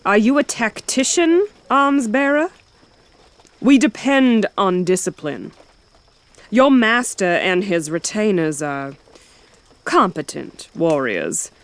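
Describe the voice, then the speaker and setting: A woman playing a haughty elven knight commander in a fantasy audio drama, speaking in a measured, formal, imperious way. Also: on the low side, clean tone. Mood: haughty, controlled.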